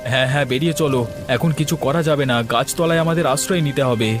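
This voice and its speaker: A man's voice: fairly high pitch, quick pace, music in the background, close to an everyday voice. A man voicing a steadfast, reassuring village father in a cartoon, speaking earnestly. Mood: resolute. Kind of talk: scripted cartoon dialogue.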